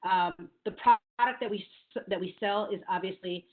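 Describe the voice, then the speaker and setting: A middle-aged woman from New York City, a marketing executive speaking in a virtual interview, measured and thoughtful, with frequent ums. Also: mid-range voice. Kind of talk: virtual interview, career talk. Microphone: video call. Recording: phone-like call audio.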